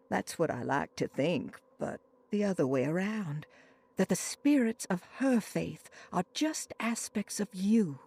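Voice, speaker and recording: Video game role: troubled, pious woman, reverent and solemn, low-lit mellow tone with gravelly fry. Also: heavily acted. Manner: solemn, hushed, reverent delivery. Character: devout, troubled woman seeking solace in voodoo, praying. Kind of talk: dramatic video game monologue.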